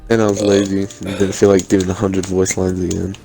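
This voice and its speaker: A man's voice: deep voice, little pitch movement, brisk, creaky, over music. A man in his late teens co-hosting a podcast, talking casually and bluntly with teasing and swearing. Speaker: podcast co-host and amateur voice actor.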